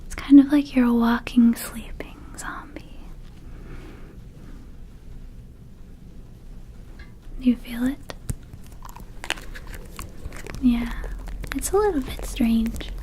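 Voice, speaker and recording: A woman voicing a small, laid-back, slightly shady vampire dispensary keeper in an ASMR roleplay, whispering softly in a rambling, casual sales patter. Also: a little high, steady tone, moderate pace, a little hiss or hum, lightly acted. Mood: soothing, coaxing. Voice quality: soft, breathy whisper.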